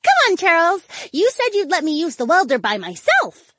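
Man putting on an excitable, very high-pitched young cat woman. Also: moderate pace, no echo, studio quality, exaggerated voice. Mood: excited, playful. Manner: gushing, girlish, squealing exclamations. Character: excitable, playful young feline monster woman. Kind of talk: audiobook character dialogue.

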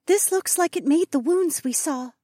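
A woman's voice: very high voice, normal speed, warm tone, no room sound, studio quality. A woman playing an observant female companion in a fantasy audiobook. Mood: matter-of-fact. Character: sharp-eyed female companion examining evidence. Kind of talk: acted audiobook dialogue.

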